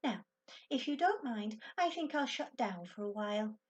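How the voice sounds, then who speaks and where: A woman's voice: a little high, lively intonation, normal speed, lo-fi sound, close to an everyday voice. A woman voicing a haughty little female alien in a storybook, prim, precise and dismissive.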